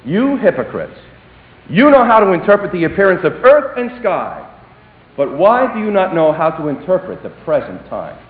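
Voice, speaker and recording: A man preaching a sermon, swinging between emphatic declarations and hushed, dropped phrases. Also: high-pitched, sing-song, normal speed. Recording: echoey hall, tinny phone-like sound.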